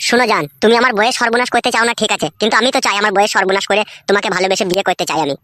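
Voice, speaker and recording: A woman's voice: mid-range voice, fairly even intonation, very fast, sharp tone, no room sound, studio quality, close to an everyday voice. A woman voicing a brash young man playing secret lover in a cartoon comedy, boastful and crude, with a rural West Bengal accent.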